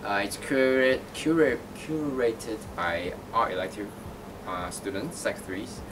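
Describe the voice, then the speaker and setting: A man's voice: lowish voice, a little slow, background noise. A man from Taiwan, an artist and art teacher, answering interview questions thoughtfully, with many fillers and restarts. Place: gallery. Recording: distant mic with music underneath.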